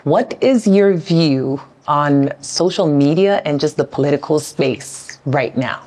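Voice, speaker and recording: A woman's voice: sits low, expressive, takes it slowly, darkish tone. A woman from South Sudan interviewing a younger guest, speaking thoughtfully and often repeating words as she thinks. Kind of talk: conversational interview.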